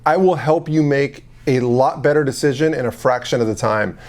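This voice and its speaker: A man's voice: medium pitch, normal speed, a little mellow. A man presenting an informal explainer as a consultant, talking straight to the viewer in a conversational, salesy way. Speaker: call center software consultant and video presenter. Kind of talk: informal explainer talk to camera.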